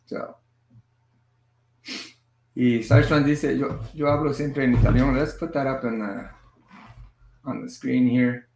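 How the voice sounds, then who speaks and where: A man hosting a casual family livestream from home, moving easily between languages with a relaxed, filler-heavy way of talking. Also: mid-range voice, unhurried, vocal fry, long pauses, slight background noise. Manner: switches between languages mid-sentence. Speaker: livestream host and father. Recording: echoey room sound.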